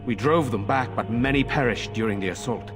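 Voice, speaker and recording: An actor playing a middle-aged noble lord in a game, speaking in a measured, formal and earnest way. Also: male voice, medium pitch, over music, lightly acted. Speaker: village lord. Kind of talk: game voice acting.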